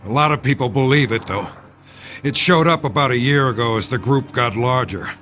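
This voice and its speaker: An actor playing a grim, bitter doomsday conspiracy insider in a radio drama, explaining gravely and cynically. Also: male voice, medium pitch, fairly quick, a bit bright, natural voice. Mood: grave, confiding. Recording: music under the dialogue.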